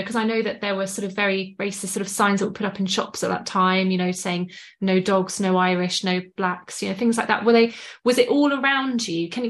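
A woman interviewer asking gentle, probing questions with some hesitant phrasing. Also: mid-range voice.

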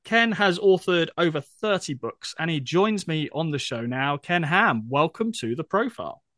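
A man hosting a radio interview show, reading a measured scripted introduction and then asking questions. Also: on the high side, animated, fairly bright tone, dry recording, studio quality. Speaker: radio show host, magazine editor.

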